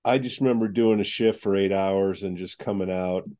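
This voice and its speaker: A man's voice: deep voice, steady tone, normal speed, mellow. A man hosting a casual interview, joking and prompting his guest with questions. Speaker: host.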